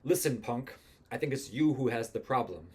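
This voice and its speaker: Man reading as a husky 1920s gangster tough guy. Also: medium pitch, lively intonation, normal speed. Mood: threatening. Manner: slangy tough-guy threats. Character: menacing 1920s male gangster, cocky, tough and threatening. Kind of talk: amateur musical script read-through.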